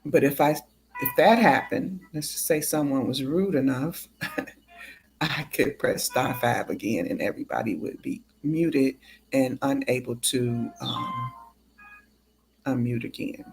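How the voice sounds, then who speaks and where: A livestream host speaks casually at a slow pace, in a mid-pitched voice. The delivery is halting, with drawn-out gaps between phrases. Faint music sits behind the voice. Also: vocal fry, poor audio.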